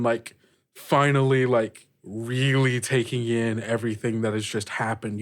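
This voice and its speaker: Slow, amused game master narrating. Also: medium pitch. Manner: halting, amused, frequent "like".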